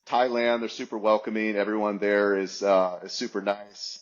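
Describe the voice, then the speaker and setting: Man, forties, low voice, rambling casual answers, lots of fillers, muffled lo-fi sound. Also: fairly even intonation, normal speed. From United States. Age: 40s. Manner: rambling, many fillers and restarts. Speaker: guest. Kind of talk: casual interview talk.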